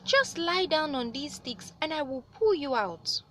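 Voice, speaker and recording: A woman's voice: high-pitched, big pitch swings, unhurried, fairly bright tone, slight background noise, voice pushed up, exaggerated voice. A woman voicing a clever little male spider from a storybook, eager and coaxing as he pleads and exclaims.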